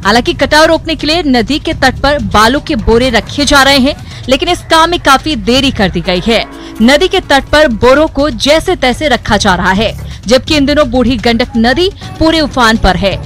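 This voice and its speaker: A woman with a high voice reads scripted news in a formal, even delivery. Clear music plays under her voice-over. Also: moderate pace. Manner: formal, steady news read. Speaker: news anchor.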